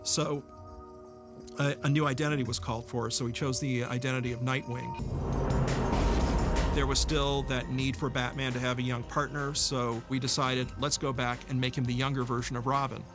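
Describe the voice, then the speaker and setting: A man gives a reflective interview about creative work. His pitch is average and stays quite level, and he explains things in a measured, articulate way. Music plays clearly behind him. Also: level tone. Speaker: animated series writer-producer interviewed.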